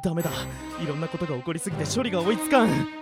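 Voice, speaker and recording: A man's voice: fairly high pitch, animated, rapid-fire, over loud music. A man playing a blunt, sceptical young hero in a radio drama, forever giving exasperated retorts. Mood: incredulous. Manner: brusque, incredulous retorts. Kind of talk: Japanese anime-style radio drama acting.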